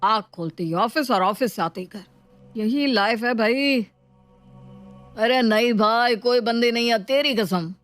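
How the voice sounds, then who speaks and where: A woman's voice: fairly high pitch, a little slow, faint music behind, close to an everyday voice. A woman voicing an irritable, lovestruck young male office worker in casual, slangy Hinglish for a story. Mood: irritated, weary. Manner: casual Hinglish slang, grumbling or musing to himself.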